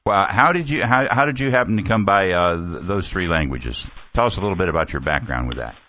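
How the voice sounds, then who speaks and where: A man's voice: low-pitched, animated, fairly quick, pushed and bright, a little hiss or hum. A man hosting a call-in talk radio show, chatting in a rambling, easygoing way. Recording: dull lo-fi broadcast audio.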